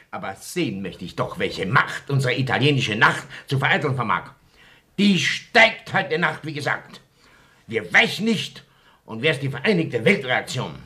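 An actor playing an indignant, pompous republican pub regular in a radio play, with declamatory phrasing. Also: male voice, mid-range voice, very animated, measured pace, sharp tone. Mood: defiant, pompous. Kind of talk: stage-like radio play acting.

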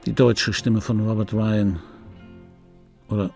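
An actor playing a middle-aged film dubbing actor in a radio play, reminiscing in a wry, pedantic, digressive way with a gravelly, husky voice. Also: male voice, lowish voice, little pitch movement, slow, a little mellow, faint music behind. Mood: pedantic musing. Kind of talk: radio play monologue, confiding first-person reminiscence. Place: dry radio drama studio.

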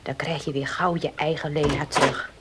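An actress playing a matter-of-fact, reassuring household helper in a radio drama. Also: female voice, low voice, little pitch movement, moderate pace, natural voice. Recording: noisy old radio play recording.

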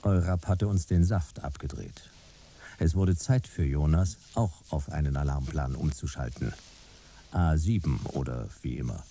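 A man's voice: extremely low pitch, a little slow, mellow, a little hiss or hum. A man playing a laconic, tough private detective in a sci-fi radio drama, with a dry, terse manner. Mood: terse narrating. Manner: dry, terse, hard-boiled. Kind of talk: radio drama dialogue and first-person narration.

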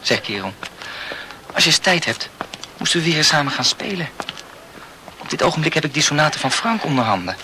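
A gossipy, opinionated male student in a radio drama. His voice is bright and forward, and he talks bluntly with mild swearing. There is light noise on the old recording. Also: on the high side, big pitch swings, brisk, pauses often, exaggerated voice, low-quality recording. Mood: chatty. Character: chatty, opinionated male student, musical, fond of beer. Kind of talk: conversational radio drama acting.

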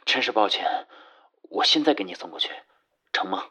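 A man playing a gentle, polite and slightly teasing young courier in an audio drama, soft-spoken and courteous. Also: deep voice, animated, brisk, husky, heavily acted. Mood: apologetic, courteous. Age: young adult. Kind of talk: Chinese audio drama acting. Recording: music under the voice. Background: music.

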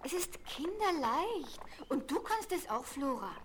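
High, bold circus girl. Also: very animated, measured pace, heavily acted. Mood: eager, encouraging. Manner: exuberant, commanding, teasing. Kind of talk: German children's radio play acting, 1970s. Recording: noisy 1970s vinyl transfer.

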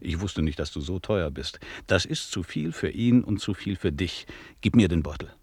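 Authoritative police official, very low voice, wry, commanding, radio drama, dry studio. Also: male voice, lively intonation, moderate pace, a little mellow, husky, no echo, heavily acted. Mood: wry, knowing. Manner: commanding, ironic. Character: worldly police lieutenant, authoritative and wryly knowing. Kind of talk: German radio play acting.

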